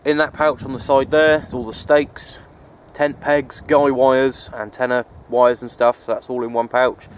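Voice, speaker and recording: A man's voice: little pitch movement, measured pace, hardly any pauses. Resigned man, outdoors with background noise. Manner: resigned, low-key, repeats himself. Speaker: outdoor radio hobbyist vlogger. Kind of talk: casual rambling vlog narration. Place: outdoors on an open wooded hilltop. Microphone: handheld camera's built-in mic, held by speaker. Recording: outdoor handheld camera, wind and ambient noise.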